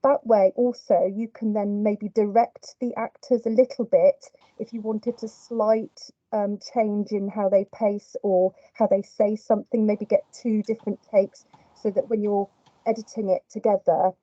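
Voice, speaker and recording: A young woman gently pitches ideas in long, hedging runs. Her voice is deep-toned and mellow. The sound is dry and dull, like a muffled call. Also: little pitch movement, a little slow, dry recording. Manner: offers suggestions in long, hedging stretches. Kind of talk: casual planning call.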